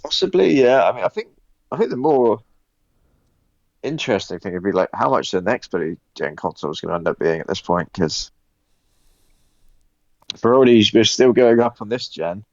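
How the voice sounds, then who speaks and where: Wry low-voiced man, lo-fi mic. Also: expressive, normal speed, long pauses, no room sound. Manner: wry, grumbling opinions. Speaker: podcast co-host. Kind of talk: casual gaming podcast chat. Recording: dull lo-fi mic, dry.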